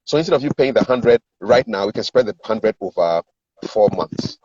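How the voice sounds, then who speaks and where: A man's voice: on the low side, animated, normal speed, a little mellow, husky. A middle-aged man with a Ghanaian accent, explaining things as a coach in a conversational interview and acting out sample dialogue. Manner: explanatory, acts out sample dialogue, repeats words for emphasis. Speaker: communications and sales coach giving advice. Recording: roomy echo, dull lo-fi sound.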